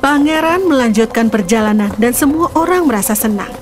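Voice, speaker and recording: A woman's voice: on the high side, lively intonation, a little fast. A woman storyteller giving a scripted narration of a fairy tale. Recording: music behind the voice. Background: background music.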